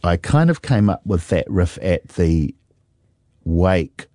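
NZ musician, middle-aged man, very deep dark voice, dry close sound, reflective storyteller. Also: big pitch swings, dry recording. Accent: New Zealand. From New Zealand. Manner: reflective, unhurried, many fillers. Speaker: musician guest. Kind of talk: relaxed interview storytelling.

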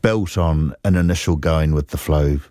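A middle-aged man with a New Zealand accent, a musician telling stories in an interview, unhurried and reflective with many pauses. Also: rumbling low, mellow, no room sound, clean studio sound. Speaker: musician guest. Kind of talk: relaxed storytelling interview.

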